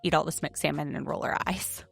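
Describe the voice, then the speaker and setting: A woman's voice: deep voice, steady tone, gravelly, husky, faint music behind. A woman with a Southern accent, co-hosting a podcast in a chatty, sassy recap style. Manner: chatty, sassy, quick jokes and laughs. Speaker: podcast co-host, attorney. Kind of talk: casual recap chat.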